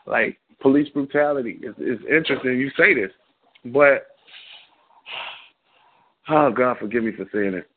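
A man speaks over a thin, tinny phone line, his voice at an ordinary middle pitch. He talks bluntly and with passion, rambling through long runs of thought. He is a caller on a talk radio show. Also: normal speed, pauses often. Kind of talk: call-in radio commentary.

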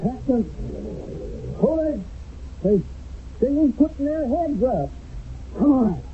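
A man's voice: extremely high pitch, lively intonation, slow, heavily acted. An actor playing a folksy, anxious enlisted infantryman with colloquial speech, hesitant, in a radio drama from an old broadcast. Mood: uneasy. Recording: muffled, noisy old radio broadcast recording.

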